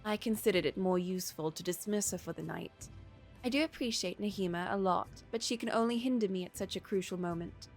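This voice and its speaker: A woman's voice: medium pitch, fairly quick, faint music behind, lightly acted. A woman voicing a proud, stubborn young princess in a story, speaking formally. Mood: defiant, proud. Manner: formal, declarative phrasing.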